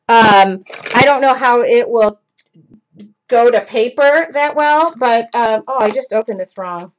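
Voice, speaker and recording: A woman's voice: a little high, a little hiss or hum. A woman in her forties hosting a live craft demo from her home studio, rambling and self-interrupting as she works. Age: 40s. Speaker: craft show host. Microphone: webcam in front of her. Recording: dull lo-fi webcam stream audio.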